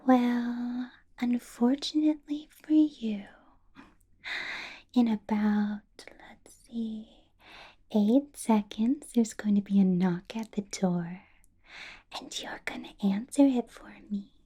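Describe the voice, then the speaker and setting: A woman playing a doting yet menacing vampire boss in a breathy, whispered ASMR roleplay. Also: a little high, warm tone. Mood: cold, menacing. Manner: slow, breathy whisper with silky, drawn-out phrasing.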